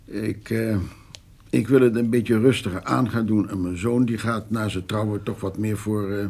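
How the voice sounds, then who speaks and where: An actor playing an older farmer in a radio drama, jovial and scheming, with a hesitant, coaxing way of talking. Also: male voice, lowish voice, fairly even intonation, moderate pace, darkish tone, slightly rough. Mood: confiding. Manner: hesitant, wheedling, full of small interjections. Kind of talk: naturalistic radio drama dialogue.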